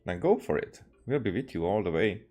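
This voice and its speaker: Animated man commenting on a game. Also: lowish voice. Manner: hesitant, halting, stammering. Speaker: game streamer. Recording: faint game music behind.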